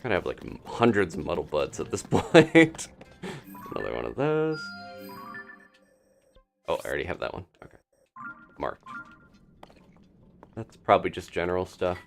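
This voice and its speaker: Man, low voice, very varied pitch, casual game streamer, thinking aloud, music behind. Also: wildly swooping pitch, normal speed, vocal fry, long pauses. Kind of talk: casual live gameplay commentary.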